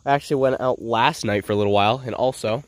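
A man's voice: medium pitch, fast, no room sound. Congested young man vlogging in the woods. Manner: chatty, excited, self-narrating while searching. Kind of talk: casual outdoor vlog. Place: woods outdoors. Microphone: head-mounted action camera.

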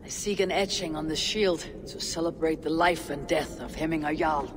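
An actress playing a resolute young Viking warrior woman in a video game, speaking in a grave, earnest, measured way. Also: sits low, fairly even intonation, noisy background, natural voice. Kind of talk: dramatic video game acting. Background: music.

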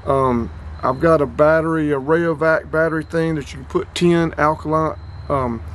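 A man's voice: a little high, fairly even intonation, normal speed, a little hiss or hum. A man in his fifties, talking casually and a bit ramblingly through a gear demo in a small indoor room, recorded on a close camera mic. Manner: plainspoken, rambling, repeats numbers. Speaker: outdoors and radio hobby vlogger.

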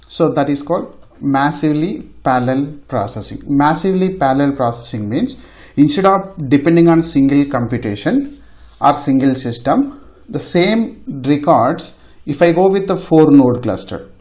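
A man's voice: a little high, a little mellow. A man giving an informal technical lecture as a tutorial instructor, often repeating his phrases for emphasis. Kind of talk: informal technical lecture over a screen demonstration.

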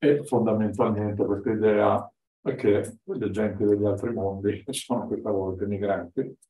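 A man's voice: lowish voice, steady tone, normal speed, dark tone. An older man gives an informal lecture, explaining in hesitant phrases, recorded from across a room. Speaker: guest lecturer. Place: indoor classroom with whiteboard. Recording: roomy, distant microphone.

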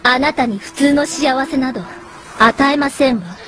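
A haughty young lady, high in pitch and refined in her speech. She is a falsely sweet, vengeful schemer in a Japanese audio drama, mocking and polite at once, over faint noise. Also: moderate pace, a bit bright. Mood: coolly challenging.

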